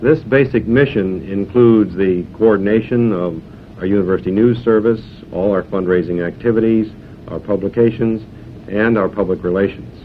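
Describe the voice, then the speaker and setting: A man's voice: on the low side, moderate pace. A man giving a formal prepared statement as a university official, on 1970s film sound. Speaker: university development director. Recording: thin phone-like 1970s film sound, faint noise.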